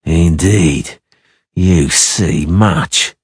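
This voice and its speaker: A man doing a slow drawling sinister killer. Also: deep voice, sing-song, breathy edge, no room sound, clean studio sound, exaggerated voice. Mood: menacing. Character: cold menacing male assassin, slow drawling.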